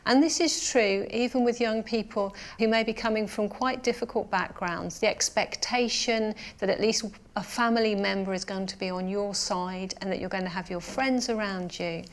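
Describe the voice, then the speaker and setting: A woman's voice: medium pitch, fairly even intonation, moderate pace, few pauses. A woman sociologist explaining research in an interview, with occasional hesitant fillers. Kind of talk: expert interview.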